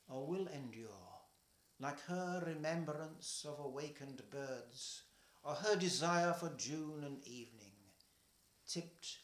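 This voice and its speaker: An older man reading a poem aloud at home, slow and measured, his voice falling soft at the ends of lines. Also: on the high side, reverberant. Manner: slow, measured, trailing softly at line ends. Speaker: poetry reader. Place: home kitchen, indoors.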